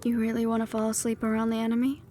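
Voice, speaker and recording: Defiant shadow thief, young woman, sardonic and teasing, fairly high-pitched, played by a woman, light music underneath. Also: completely flat delivery, very fast, lightly acted. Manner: teasing and sardonic, dropping to soft whispers. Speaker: voice actor in an audio roleplay. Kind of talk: audio roleplay acting.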